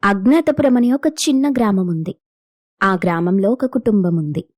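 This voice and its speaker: A woman's voice: mid-range voice, moderate pace, dry recording, clean studio sound. A woman storyteller reading a scripted moral story as narrator.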